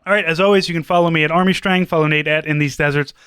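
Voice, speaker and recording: A male podcast narrator reading a comic script. His voice is high, bright and clean, with very little room sound around it. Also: fairly even intonation, racing, smooth, no room sound, studio quality.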